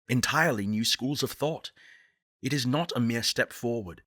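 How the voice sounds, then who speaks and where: A crisp, forceful male voice, fairly low and recorded very dry, playing a visionary leader of a space program in a game. He is solemn and eloquent. Each line is delivered as deliberate, persuasive oratory. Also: no echo.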